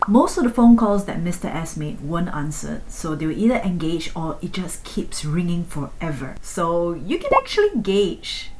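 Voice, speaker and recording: Hoarse woman vlogging from a noisy hospital. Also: sing-song, moderate pace, few pauses. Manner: frank, tired, voice often drops low and soft. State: sore throat, losing her voice. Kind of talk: candid personal vlog narration. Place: hospital waiting areas. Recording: noisy ambience with air conditioning hum.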